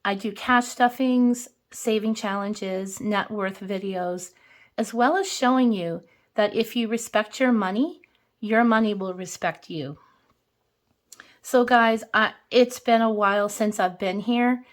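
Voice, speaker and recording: A woman with a hoarse voice hosts a casual money vlog. She speaks at an unhurried, slow pace at a middling pitch. She chats easily and counts bills out loud as she goes. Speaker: budgeting channel host. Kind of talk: casual cash-stuffing vlog.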